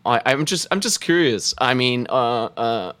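A man's voice: medium pitch, animated, measured pace, fairly bright tone, smooth. A man with a mid-range voice banters on a live stream, trading jokes and egging the audience on. He talks loosely, with plenty of fillers and restarts, and plays up his own puns. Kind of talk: casual banter, telling puns.

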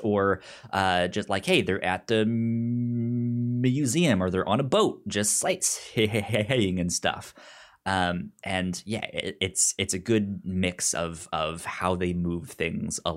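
A man co-hosting a film podcast in casual conversation, stammering and often repeating syllables and words as he goes. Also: low voice. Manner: acts out characters' lines while retelling.

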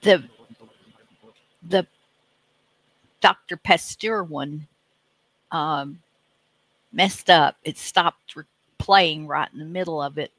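Slow, bright-voiced man hosting. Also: a little high, no echo, poor audio. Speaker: radio show host. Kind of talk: casual unscripted announcements.

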